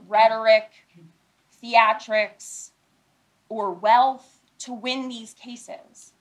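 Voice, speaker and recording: An American woman lawyer giving a prepared statement at a news conference, speaking deliberately and emphatically and repeating her points in short phrases. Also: a little high, fairly bright tone, low-quality recording. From Philadelphia, United States.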